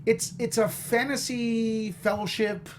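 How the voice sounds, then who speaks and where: High-pitched, enthusiastic man, slight background noise. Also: steady tone, takes it slowly. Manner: enthusiastic, playful. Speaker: film critic panelist. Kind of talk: casual panel chat. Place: studio.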